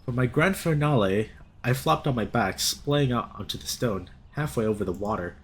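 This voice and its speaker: A young man reads a visual novel aloud as a let's play host. His delivery is expressive and theatrical, and his pitch moves around a lot as he shifts between narration and his own reactions. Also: moderate pace, a little hiss or hum. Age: young adult.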